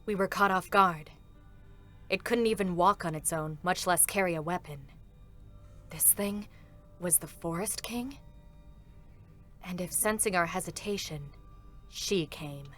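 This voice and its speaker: A stoic female combat android, calm, measured, lowish voice, faint music. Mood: restrained. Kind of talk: live concert reading of game scenes.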